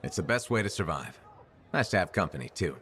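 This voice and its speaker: Plain-spoken, low-voiced freelancer hero. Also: male voice, very animated, fairly quick, fairly bright tone, a little hiss or hum. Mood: friendly. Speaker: video game character actor. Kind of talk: video game dialogue acting.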